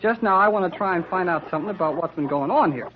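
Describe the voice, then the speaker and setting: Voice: medium pitch, animated, fast, close to an everyday voice. A performer playing an impatient, slangy country boy with an American accent in an old-time radio drama. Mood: eager. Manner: folksy, slangy, exclamatory. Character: brash, impatient, excitable farm-country boy. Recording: dull, noisy old radio recording.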